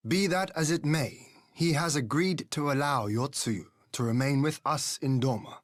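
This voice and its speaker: An actor playing a composed, responsible young lord in a dramatic game scene, speaking formally and decisively. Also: male voice, fairly high pitch, normal speed, no echo, clean studio sound, lightly acted. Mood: resolute.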